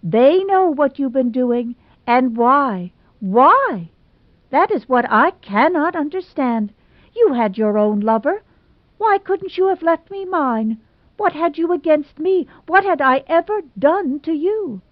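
Woman reader doing a high, theatrical heartbroken young woman, tearful and gushing, dry muffled sound. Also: expressive, takes it slowly, no room sound. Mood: melodramatic. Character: melodramatic lovelorn young woman, self-pitying.

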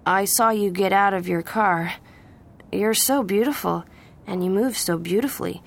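A woman's voice: mid-range voice, moderate pace, creaky, a little hiss or hum, lightly acted. A woman voicing an earnest, plain-spoken young man in an audiobook story. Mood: earnest, smitten. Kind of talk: audiobook character voice.

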